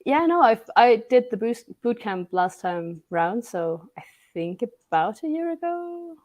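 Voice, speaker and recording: A young woman with a raspy voice, hoarse from a cold, chatting as a guest on an online stream. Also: a little high, sing-song, unhurried, a little mellow. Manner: laughs between phrases, self-deprecating. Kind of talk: casual online chat.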